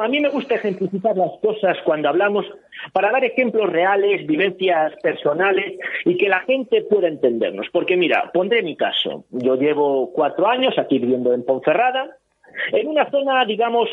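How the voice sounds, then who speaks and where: A man's voice: sits high, sing-song, normal speed, slightly rough. A man in his thirties from Spain, co-hosting a talk radio discussion and making his points with personal examples. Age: 30s. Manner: earnest, illustrates with personal examples. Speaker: co-host. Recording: tinny phone line.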